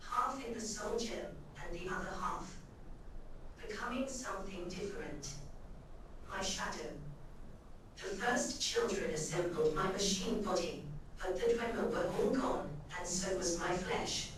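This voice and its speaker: A woman playing a solemn undead soul trapped in an ancient machine body in a video game, speaking in a grave, measured, formal way. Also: medium pitch, animated, a little hiss or hum, lightly acted. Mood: solemn, mournful. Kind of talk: scripted video game character dialogue. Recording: heavily echoing distant voice under game music.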